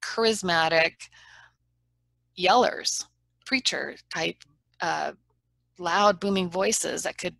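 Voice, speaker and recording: A woman trial lawyer speaking as an interview guest, her voice low and a little gravelly. She talks in a frank, earnest way, pressing her points with steady persuasion. The sound is close and dry. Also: slow pace, long pauses, no room sound. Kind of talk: conversational interview.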